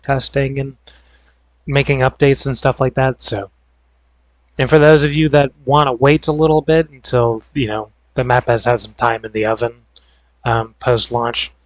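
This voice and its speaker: Young male creator, rambling filler-heavy chat, voice going hoarse, dry close sound. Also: medium pitch, no room sound, rough recording. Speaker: video creator. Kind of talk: casual gaming vlog chat.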